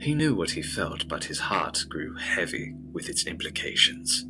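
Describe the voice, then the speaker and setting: A man narrating a scripted gothic audio drama with solemn, dramatic and deliberate phrasing. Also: deep voice, very animated, noisy background. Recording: music behind the voice.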